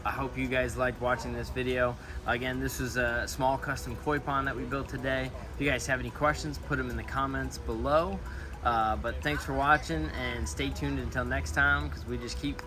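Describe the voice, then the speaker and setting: A man hosting a casual building vlog, explaining his outdoor job site work step by step in a relaxed, upbeat way. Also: mid-range voice, moderate pace. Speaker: pond builder, show host.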